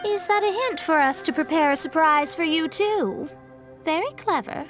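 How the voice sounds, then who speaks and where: A woman voicing a teasing, cheerful young woman in an animated video game dub. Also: extremely high pitch, moderate pace, faint music behind.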